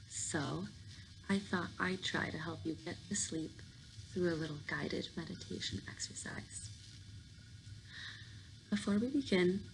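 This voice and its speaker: Lady doing a sleep meditation, really slow n fry-y, breathy soothing and casual. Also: on the low side, rough recording. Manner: soothing, informal, reassuring. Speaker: guided meditation host. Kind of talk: guided sleep meditation, softly instructing. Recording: clear background noise.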